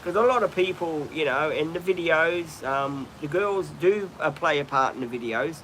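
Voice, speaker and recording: Australian man, middle-aged, rambling live-stream host, outdoors with steady background noise. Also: on the high side, normal speed, poor audio. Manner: chatty, rambling, frequent ums and restarts. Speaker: livestream host. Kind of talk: casual live-stream chat. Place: outdoors, birds in trees nearby.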